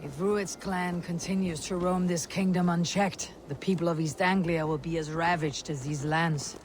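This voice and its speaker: A woman playing a stern, resolute Viking raider leader in a game, speaking in a blunt, measured, commanding way. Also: lowish voice, fairly even intonation, a little hiss or hum, close to an everyday voice.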